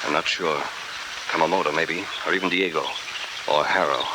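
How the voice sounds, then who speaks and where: An American actor playing a tough, shrewd investigator hero in a 1940s radio adventure, clipped, wry and commanding. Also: male voice, rumbling low, steady tone, normal speed. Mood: firm, calculating. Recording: noisy old 1940s radio recording.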